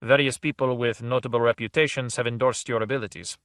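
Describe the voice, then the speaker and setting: A man voicing a calm male agent with a quiet, deliberate way of speaking. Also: mid-range voice, dry recording, clean studio sound, natural voice.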